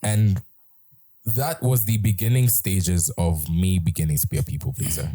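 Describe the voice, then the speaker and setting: A young man with a British accent and a very low, mellow voice tells a story from his life in an easygoing, rambling way. He restarts his sentences often, and the sound is close and dry. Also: fairly even intonation, moderate pace, dry recording. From Britain. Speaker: host. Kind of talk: casual storytelling chat.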